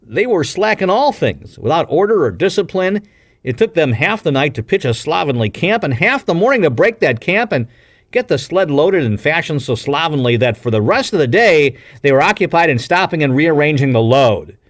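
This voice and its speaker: A man's voice: a little high, very animated, moderate pace, dry recording, clean studio sound. A man reading a classic adventure novel aloud as an audiobook narrator, with a dramatic, emphatic storytelling delivery. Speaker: audiobook reader.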